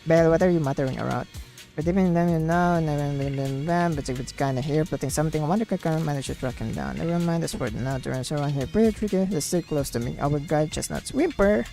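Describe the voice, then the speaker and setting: A woman streaming a video game, chatting and reading dialogue aloud in an excitable, gushing way. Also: low voice, fast, creaky. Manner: gushing and excitable, exclaims and repeats herself. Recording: background game music. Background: game music.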